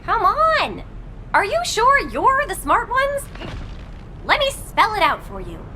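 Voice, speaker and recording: Perky, smug young woman for a game, bright and unhurried, exclamatory, faint noise behind. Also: extremely high pitch, huge pitch swings, full character voice. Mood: teasing, explaining. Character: perky, cocky young woman calling herself Professor Bunny. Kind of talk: animated video game voice acting.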